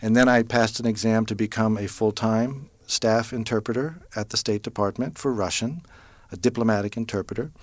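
Low-voiced middle-aged man, dry sound. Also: steady tone, no echo. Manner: thoughtful, pausing to search for words. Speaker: translator, former diplomatic interpreter. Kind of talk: conversational interview answers.

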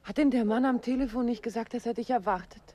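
An actress playing an uneasy young wife speaking in hushed tones in a 1950s radio drama. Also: female voice, on the high side, fast talker, no room sound, lightly acted.

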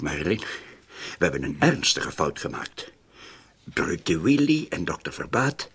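An actor playing an uneasy, suspicious criminal plotter in a radio play, speaking in a halting, insistent way and repeating his words. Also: lowish voice, a bit bright, husky, exaggerated voice. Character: uneasy, suspicious male criminal plotter, brooding over a murder. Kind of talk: Dutch-language radio play acting.

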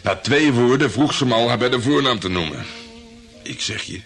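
An actor playing a cocky, flirtatious private detective in a radio drama, boastful and jokey in colloquial from the Netherlands. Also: male voice, mid-range voice, lively intonation, moderate pace, fairly bright tone. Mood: boastful, teasing. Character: cocky flirtatious male private detective, self-assured and slangy.

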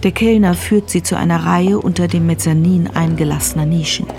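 An actress playing the matter-of-fact narrator of a crime radio drama, reading scripted prose. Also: female voice, fairly low pitch, fairly quick, mellow, soft background music. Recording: clear ambient background noise.

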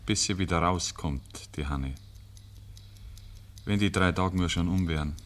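Solemn old-time radio storyteller, deep voice, measured literary delivery. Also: male voice, heavy background noise. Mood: grave. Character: grave, ironic male storyteller. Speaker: narrator. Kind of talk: scripted narration, 1950s radio drama.

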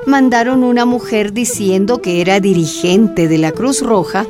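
A woman's voice: medium pitch, normal speed, music in the background, natural voice. A woman narrating a scripted radio drama as an earnest storyteller.

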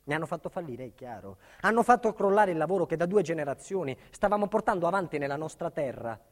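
A man's voice: on the high side, big pitch swings, fast talker. An actor playing an earnest, embattled businessman telling his life in a theatrical monologue, with emphatic, dramatic phrasing. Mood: indignant, grave. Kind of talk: theatrical first-person monologue. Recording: reverberant theatre hall recording.

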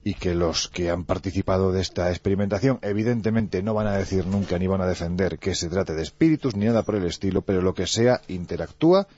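A man from Andalusia talking about mysteries on a radio show in an animated, joking way, with lots of fillers. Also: low-pitched, quick pace, few pauses, lo-fi sound. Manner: animated, jokey, frequent fillers. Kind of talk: lively radio chat and storytelling.

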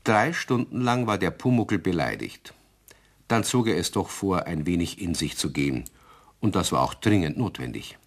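A man narrating a German children's radio play as a wry storyteller with measured phrasing. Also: low-pitched, sing-song, exaggerated voice. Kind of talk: scripted narration.

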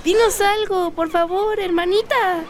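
A woman's voice: extremely high pitch, a little slow, noisy background. A woman playing an affectionate, pleading young sister in a radio drama.

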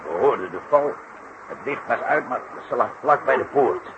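An actor playing an earnest, shaken circus worker giving testimony in a 1960s radio play. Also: male voice, medium pitch, lively intonation, moderate pace, close to an everyday voice. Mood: uneasy. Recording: muffled, noisy 1960s radio recording.

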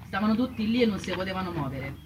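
A quick-talking female voice with a Roman accent, an actress as a poor woman recalling hardship. She is colloquial and insistent, repeating herself as in stage testimony. The recording is distant and noisy. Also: mid-range voice, animated, lightly acted. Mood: indignant. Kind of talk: theatrical first-person testimony. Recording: distant stage sound with clear noise.

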